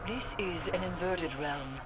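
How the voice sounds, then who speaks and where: An actress playing a solemn, knowing mystic in a video game, speaking in formal, cryptic, measured phrases. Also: female voice, fairly low pitch, heavy background noise, lightly acted. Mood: grave, cryptic. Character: solemn, knowing woman mystic who guides with dark lore. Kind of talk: scripted video game dialogue.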